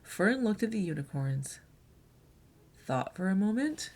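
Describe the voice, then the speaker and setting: A woman reading a children's picture book aloud in an expressive storyteller's delivery. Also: medium pitch, measured pace, slight background noise.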